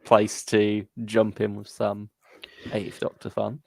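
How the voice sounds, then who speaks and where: A man with a fairly low voice and heavy vocal fry co-hosts a casual fan discussion. He speaks in a hesitant, hedging way, recorded close and dry. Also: completely flat delivery, takes it slowly, warm tone, no room sound. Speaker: podcast co-host. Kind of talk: casual fan discussion, reading listener comments.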